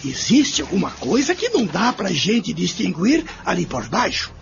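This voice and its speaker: Actor as very creaky, high-voiced inquisitive man. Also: huge pitch swings, normal speed, background noise, exaggerated voice. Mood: puzzled. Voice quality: very creaky, gravelly. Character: inquisitive rustic male neighbor examining buried finds. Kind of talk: amateur radio drama acting. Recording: muffled recording with music behind.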